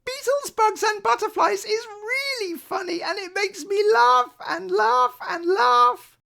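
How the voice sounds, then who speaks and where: Excitable, stubborn little girl, squeaky high. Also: a little slow, gravelly, full character voice. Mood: stubborn, emphatic. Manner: childlike, emphatic, insistent. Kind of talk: children's storybook read aloud.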